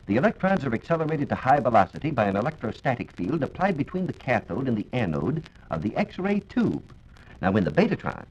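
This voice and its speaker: A man's voice: medium pitch, slightly rough. American man, formal didactic science reporter, varied intonation, scripted delivery, muffled 1940s radio recording.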